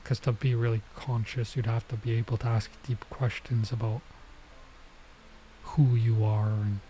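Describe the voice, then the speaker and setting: Mellow-voiced man reading a game aloud. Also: medium pitch, level tone, moderate pace, slight background noise. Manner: stops to remark on and reread the text. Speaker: streamer playing and reading a visual novel aloud. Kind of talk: let's play read-aloud with casual side comments.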